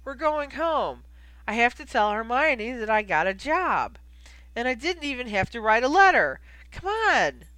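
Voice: medium pitch, very animated, moderate pace, bright, forceful tone, no echo, rough recording. A reader voicing a tipsy, eager young man with a casual, blunt manner in a story. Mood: delighted.

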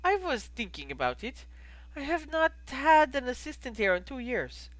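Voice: high-pitched, sing-song, normal speed, a bit bright, dry recording, pitched above the performer's own voice. A reader voicing an ageing, patient male innkeeper from abroad who is cheerful and instructive in a story. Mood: musing. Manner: encouraging, instructive, formal phrasing.

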